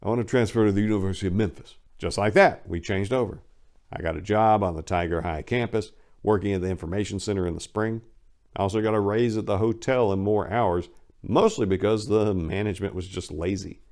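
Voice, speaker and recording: A man's voice: on the low side, brisk, darkish tone. A man narrating a first-person story in a dramatic audiobook reading, with a blunt, streetwise, conversational manner.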